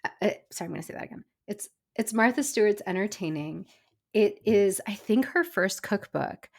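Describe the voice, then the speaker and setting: A woman's voice: mid-range voice, animated, a little mellow. Chatty woman podcast host, slightly stuffy. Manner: chatty, enthusiastic, quick asides. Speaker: former personal chef and caterer. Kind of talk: casual chat.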